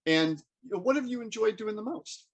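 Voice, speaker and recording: An American man hosting a casual interview, asking rambling, halting questions in a conversational way. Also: high voice, lively intonation, breathy edge. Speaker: interviewer and host, community theater member.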